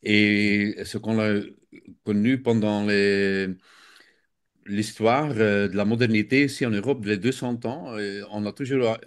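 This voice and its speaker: A man's voice: lowish voice, steady tone, unhurried, clean tone. A man with a Flemish accent, a native Dutch speaker, explaining his field as an interview guest while often searching for words. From Belgium. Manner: hesitant, searching for words, many fillers. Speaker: guest expert.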